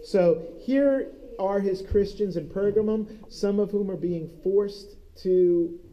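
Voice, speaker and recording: A man's voice: sits high, takes it slowly, dull audio, slight background noise. A man leading a church Bible study group, teaching conversationally and often asking "right?". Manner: conversational, keeps checking in with "right?". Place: church meeting room.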